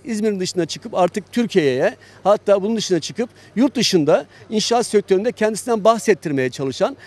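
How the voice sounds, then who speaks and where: A man speaking as a company chairman in an interview, in a measured, halting way with frequent pauses between phrases. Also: sits high, a little mellow. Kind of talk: on-site promotional interview.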